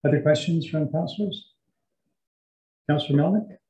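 A man chairing a council meeting as mayor, speaking in a deliberate, courteous and formal way. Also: on the high side, mellow. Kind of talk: formal meeting remarks and questions. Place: large meeting room, indoors. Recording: dull, echoey meeting room audio.

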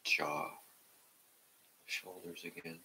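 A middle-aged man calmly guiding a meditation in a gentle, measured way. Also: extremely low pitch, noisy background. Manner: calm, measured. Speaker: meditation guide. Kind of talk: gentle guided meditation and reading aloud. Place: indoor room at home, seated on the floor.

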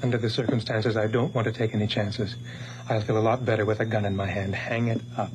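A man's voice: lowish voice, flat delivery, fast talker, a little mellow, slight background noise, lightly acted, rough recording. An actor playing a bewildered, paranoid man in a radio horror drama, intense and suspicious. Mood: cold suspicion. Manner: muttering to himself, intense, suspicious. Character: bewildered, paranoid man doubting his own identity.

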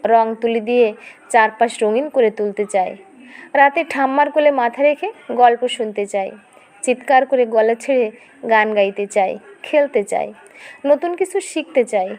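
A woman reading a scripted dramatic story as narrator, in an expressive, emotive storytelling manner. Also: on the high side, normal speed.

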